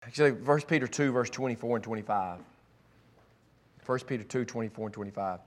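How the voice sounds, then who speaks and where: A man preaching a sermon, his voice raspy from cheering at ball games and allergies, with a fervent way of building to emphatic, repeated lines. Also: mid-range voice, a little fast. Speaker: pastor.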